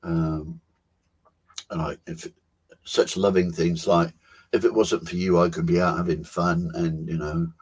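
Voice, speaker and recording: A man, co-hosting a talk show on a video call and musing over his life in an unhurried way, with soft laughs and pauses. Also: low voice, flat delivery, husky. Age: 70s. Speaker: co-host. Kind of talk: reflective personal storytelling.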